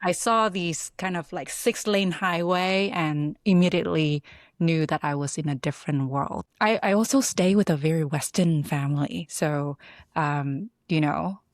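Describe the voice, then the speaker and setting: A woman from Vietnam, answering interview questions in a reflective, halting, conversational way. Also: low voice, no room sound, clean studio sound. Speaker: interview guest, product builder and designer.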